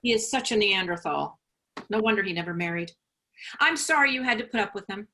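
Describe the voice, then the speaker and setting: A woman's voice: medium pitch, lively intonation, fairly quick, sharp tone. A woman playing a placating, self-absorbed mother with a career in law, in a staged reading of a play. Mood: scornful.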